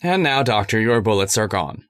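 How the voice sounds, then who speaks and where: A man playing a megalomaniac robot passing as human in an old-time radio horror drama, deliberate and grandiose. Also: lowish voice, expressive, heavy background noise, lightly acted. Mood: menacing, triumphant. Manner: deliberate, grandiose villainous pronouncements. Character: megalomaniac robot disguised as a man, menacing and coolly certain.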